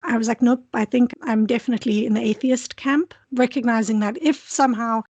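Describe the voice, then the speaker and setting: A woman's voice: fairly high pitch, steady tone, a little fast, a little mellow, breathy edge, no room sound, muffled recording. A woman from South Africa with a South African accent, a PhD student talking candidly and thoughtfully as an interview guest. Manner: thoughtful, candid, frequent restarts. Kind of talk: conversational interview.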